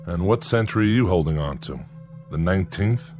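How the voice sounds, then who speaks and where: Deep-voiced, principled, embittered plant manager. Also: male voice, lively intonation, brisk, over music. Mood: indignant. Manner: earnest, indignant, brooding. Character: principled, embittered veteran plant manager defending his workers. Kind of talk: scripted sci-fi radio drama acting.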